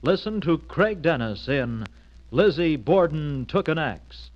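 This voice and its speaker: A man's voice: fairly high pitch, animated, slow. A man announcing a radio programme with emphatic, theatrical 1940s showmanship. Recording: muffled vintage radio recording.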